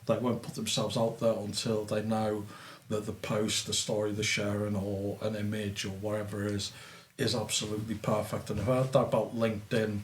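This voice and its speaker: A man's voice: lowish voice, moderate pace, few pauses, reverberant, a little hiss or hum. A middle-aged man with a Scouse accent, co-hosting a casual podcast chat, laughing often and swearing freely. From Liverpool, England. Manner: laughs easily, swears, animated storytelling. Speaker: podcast co-host.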